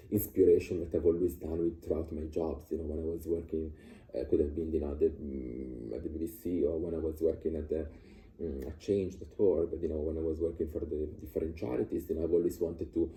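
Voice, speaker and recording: A man's voice: very deep voice, warm tone, a little hiss or hum. A man, talking casually about his views with many fillers and restarts. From Italy. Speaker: vlogger sharing personal views. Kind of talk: casual unscripted monologue. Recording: echoey room.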